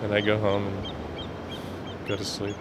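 A man with a low voice speaks casually outdoors at the seashore. Rumbling surf noise is clearly heard behind him. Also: completely flat delivery, takes it slowly. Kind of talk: casual interview answers outdoors. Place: outdoors on a beach by the sea.

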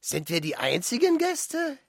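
An older man playing a small, naive, impatient duck hero with a husky voice in a radio play. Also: very high voice, huge pitch swings, a little slow, no room sound, clean studio sound, exaggerated voice. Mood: puzzled, impatient. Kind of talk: radio play acting, studio outtake. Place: dry radio drama studio.

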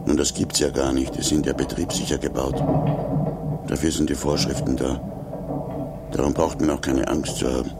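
An actor in a 1950s German radio play, playing an anxious, grumbling man who talks to himself with nervous self-reassurance in a gravelly, breathy voice. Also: a bass voice, little pitch movement, normal speed, pauses often, very noisy. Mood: uneasy, grumbling. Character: anxious, grumbling man alone in a rickety lift.

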